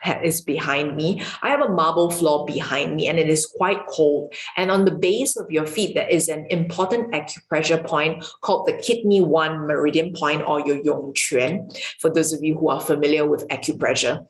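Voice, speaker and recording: A woman from Singapore, a qigong and yoga teacher, calmly giving an online lesson with some filler ums. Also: deep voice, moderate pace. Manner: calm, explanatory, with filler ums. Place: home room with marble floor. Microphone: camera mic, video recording. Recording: echoey room.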